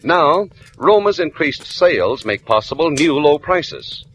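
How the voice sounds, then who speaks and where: A male radio announcer delivers a polished 1940s sponsor message. His pitch swings in a lively, emphatic way, on a vintage recording with faint noise. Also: unhurried. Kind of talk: 1940s radio advertisement read.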